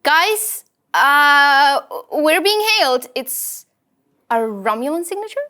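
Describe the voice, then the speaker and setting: Woman doing a very high, timid young ensign. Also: sing-song, slow pace, sharp tone, exaggerated voice. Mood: worried, alert. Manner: hesitant, awkward. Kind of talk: animated sci-fi script table read.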